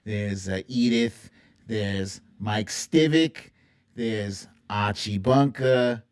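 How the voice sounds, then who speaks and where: Slow-paced casual livestream host of unclear gender. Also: mid-range voice, darkish tone, clear, resonant tone. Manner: playful, breaks into humming and singing theme tunes. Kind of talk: casual live chat with a TV theme quiz.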